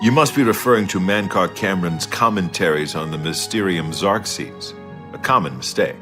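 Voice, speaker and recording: A man plays a courteous fantasy bookseller with a salesman's polish. His voice sits fairly low, over faint background music. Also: normal speed, lightly acted. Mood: knowing. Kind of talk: video game dialogue acting.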